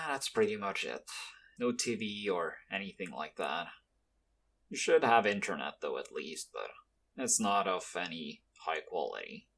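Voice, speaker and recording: A man playing a guarded, weary, quietly kind young mafia henchman in an ASMR roleplay, speaking low-key and hesitantly to the listener. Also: full character voice. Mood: casual, apologetic.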